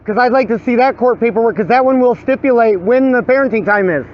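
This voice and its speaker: A man's voice: very high-pitched, fast. A man with a strained, wearing voice, talking in an insistent, repetitive plea as he livestreams a dispute. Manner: insistent, pleading, repeats himself. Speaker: livestreamer filming own dispute with police. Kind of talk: agitated pleading to officers and viewers. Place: outdoors by a street with traffic. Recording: dull lo-fi outdoor recording, faint traffic noise.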